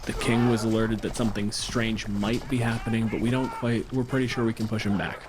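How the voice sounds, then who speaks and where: Low-pitched man telling a fantasy tale. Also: little pitch movement, a little fast. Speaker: tabletop game player and narrator. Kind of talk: dramatic improvised storytelling. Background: music with guitar.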